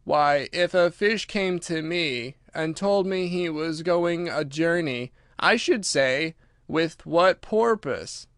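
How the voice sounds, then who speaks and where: Storybook turtle creature, male performer: ponderous, sorrowful and sleepy, very drawn-out delivery, dry close recording. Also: on the high side, a bit bright, clear, resonant tone, no room sound, pitched above the performer's own voice. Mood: solemn, offended. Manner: slow, drawn-out, solemn speech. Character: mournful, drowsy, self-important old Mock Turtle creature. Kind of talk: storybook character voice.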